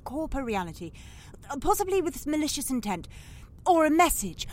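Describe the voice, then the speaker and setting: A woman's voice: high-pitched, big pitch swings, normal speed. A British woman playing a well-bred, self-conscious young Victorian lady in an audio drama, speaking politely and formally with some hesitancy. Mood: embarrassed, earnest. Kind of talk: audio drama acting in period English.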